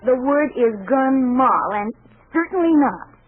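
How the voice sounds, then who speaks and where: An actress playing a plucky, sarcastic and excitable young woman in a 1940s radio comedy. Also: high voice, measured pace. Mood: wry, sarcastic. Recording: old 1940s radio recording, phone-like.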